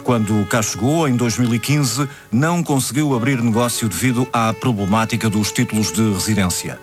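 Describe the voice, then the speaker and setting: A man with a European Portuguese accent hosting a radio interview, reading a scripted introduction in an even presenter manner. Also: medium pitch, normal speed. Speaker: radio host. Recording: radio broadcast with music bed.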